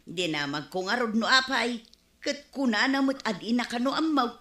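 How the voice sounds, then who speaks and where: A woman's voice: fairly high pitch, animated, moderate pace, fairly bright tone, echoey room. A woman playing an evasive, gossiping village woman in a Filipino radio comedy drama, with a defensive, stammering manner and a Filipino accent. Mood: conspiring.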